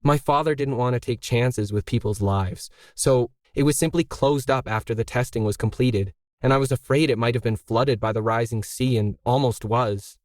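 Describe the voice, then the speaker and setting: Calm, earnest woman for an audio story, performed by a man, explanatory delivery, dry recording. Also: mid-range voice, moderate pace, few pauses, no echo, natural voice.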